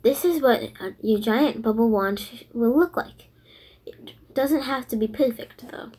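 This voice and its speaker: Woman doing craft how-to voiceover for kids, soft mellow tone, bit husky, simple steps. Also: on the high side, measured pace. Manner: simple step-by-step explaining. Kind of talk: instructional voice-over for a children's craft.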